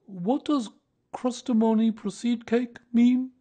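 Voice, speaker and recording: High-pitched, humble little bear. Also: male voice, fairly even intonation, slow, dark tone, full character voice. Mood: humble, puzzled. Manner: simple, earnest, plaintive. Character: small, humble, slow-witted, dreamy bear. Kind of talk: children's storybook character voice.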